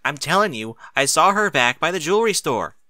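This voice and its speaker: Young girl filly, storybook, impatient and excitable, high and bright male narrator acting it. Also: brisk, dry recording. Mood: exasperated.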